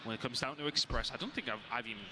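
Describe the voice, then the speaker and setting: A man co-casting an esports match and giving measured analysis of the series. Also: medium pitch, crowd noise. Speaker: esports caster.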